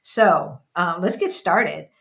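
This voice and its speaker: A woman's voice: fairly low pitch, animated, slow, creaky, a bit bright. A woman co-hosting an interview, talking in a chatty, upbeat way with playful asides. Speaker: co-host. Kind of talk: casual interview chat.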